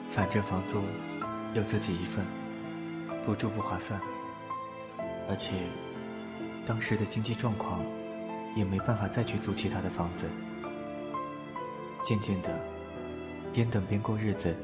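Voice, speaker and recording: A man playing a gentle, reserved young man in an audio drama, speaking candidly and softly in measured, short phrases. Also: lowish voice, lightly acted. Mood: wistful. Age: young adult. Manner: soft-spoken, measured, pausing between short phrases. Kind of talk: Chinese audio drama acting, confessional. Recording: music and crowd chatter behind voice. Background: music and crowd.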